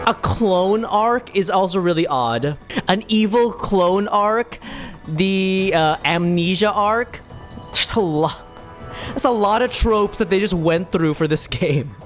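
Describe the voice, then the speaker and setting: A man with a high voice streams his playthrough. He talks casually and playfully, circling back over his own phrases. Game music plays clearly behind him. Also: lively intonation, unhurried. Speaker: gaming streamer playing and reacting.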